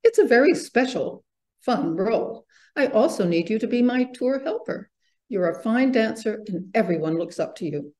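A woman's voice: a little high, expressive, moderate pace, a little mellow, voice pushed up, lightly acted. A woman voicing a kindly, encouraging female mouse ballet teacher in a children's storybook. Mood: gentle, reassuring. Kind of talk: children's storybook read-aloud.

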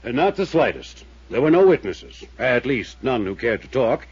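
A man's voice: medium pitch, sing-song, normal speed, husky. An actor playing an evasive male small-town mayor who speaks in a formal, placating, officious way in a 1950s radio drama. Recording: dull old radio transcription.

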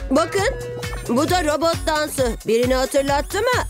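A woman's voice: sits high, lively intonation, measured pace. A woman voicing an eager, trusting six-year-old superhero boy in a cartoon, with an earnest manner. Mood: excited. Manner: earnest, enthusiastic. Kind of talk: animated cartoon dubbing. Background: light music.

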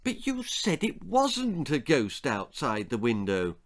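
A man's voice: mid-range voice, wildly swooping pitch, takes it slowly, full character voice. An older man voicing a frightened, impressionable young boy in a children's ghost story, yelping and pleading. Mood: challenging.

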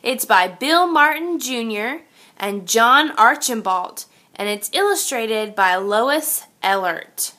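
A woman reads a children's picture book aloud at a very slow, deliberate pace. Her voice is high and lively, swinging up and down in an expressive sing-song way, like a teacher speaking to little kids.

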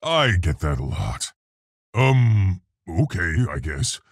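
A large, brave gorilla scientist in a video game. The voice is very husky and gruff, with a gravelly edge. He speaks in earnest, articulate, unhurried phrases, polite yet heroic. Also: deep voice, very animated, no room sound, clean studio sound, exaggerated voice. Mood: calm, awkward. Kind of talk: video game character voice lines.

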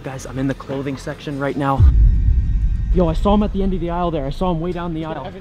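A young man speaking casually and excitedly to a handheld camera as a slangy vlog host. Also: fairly high pitch, fast talker, music in the background. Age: young adult. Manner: slangy, animated, talking to camera. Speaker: vlogger, host. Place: inside a large busy store. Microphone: handheld camera at arm's length.

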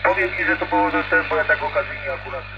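A man chatting informally and a little hesitantly as an operator over a two-way radio. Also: very fast. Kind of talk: casual radio contact chat. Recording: noisy, muffled CB radio transmission.

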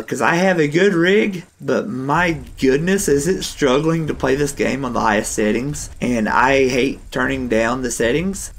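A man talks through his survival game session in a loose, rambling way. His voice is hoarse and gravelly from a recent bout of strep throat, sitting at a middling pitch. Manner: chatty, rambling, laughs now and then. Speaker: gaming channel host. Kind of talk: casual gameplay commentary.

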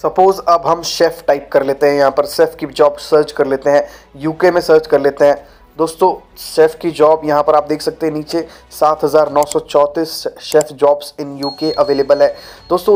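Young man guiding viewers, slightly high voice. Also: flat delivery, normal speed, a little mellow. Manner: friendly, repeatedly addresses viewers as friends. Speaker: YouTube channel host. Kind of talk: informal tutorial walkthrough. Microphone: lapel mic. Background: faint background music.

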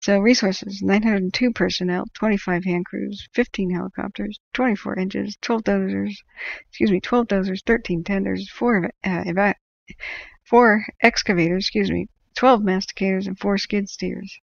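An American woman with a gravelly, creaky voice hosts an informal livestream. She reads updates aloud in a chatty way, correcting herself and adding small asides. The sound is close and dry, slightly dull. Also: mid-range voice, fairly even intonation, normal speed, no echo. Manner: chatty, self-correcting, interjects small reactions. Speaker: livestream host tracking wildfires. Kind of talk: informal livestream reading of official updates with side comments.

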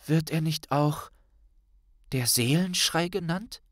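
A man's voice: fairly high pitch, takes it slowly, dry recording, clean studio sound, voice pushed up. A man voicing an earnest, anxious teenage witch girl who speaks in a careful, questioning and precise way for an audiobook.

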